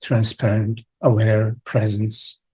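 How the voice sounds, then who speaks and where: A man leading a guided meditation as a teacher, speaking in halting short phrases with long contemplative pauses and a husky voice. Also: lowish voice, monotone, a little mellow, dry recording, rough recording. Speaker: meditation teacher leading a live online session.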